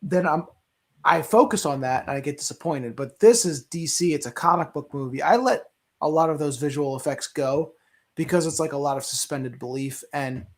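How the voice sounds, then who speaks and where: A man's voice: fairly high pitch, normal speed. A man giving casual, opinionated takes on a movie discussion show, rambling and emphatic as he repeats himself.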